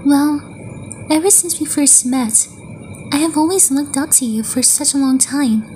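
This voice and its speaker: A woman playing a sweet, affectionate young woman in a romantic ASMR roleplay, speaking gently and hesitantly with long pauses. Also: high-pitched, a little hiss or hum, rough recording. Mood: tender, shy. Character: sweet, affectionate young woman confessing love to her crush.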